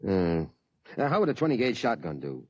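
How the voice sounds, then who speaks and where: A man's voice: fairly low pitch, big pitch swings, fairly quick, a little mellow, dry recording. An actor playing a genial, easily flustered middle-aged father in a 1950s radio drama. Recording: dull, dry vintage radio recording.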